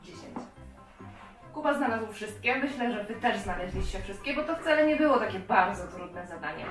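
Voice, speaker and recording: A woman's voice: medium pitch, expressive, moderate pace. A woman in her thirties leading a craft and game for young children, asking them simple questions directly. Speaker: children's lesson presenter. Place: child's bedroom indoors. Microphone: camera a few metres away. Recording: roomy, distant camera sound with music.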